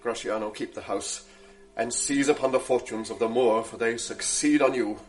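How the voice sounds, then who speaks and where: A man's voice: medium pitch, steady tone, moderate pace, soft background music, lightly acted, low-quality recording. An actor playing a stern Venetian nobleman who gives orders in verse in a 1980s radio drama. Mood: outraged, commanding.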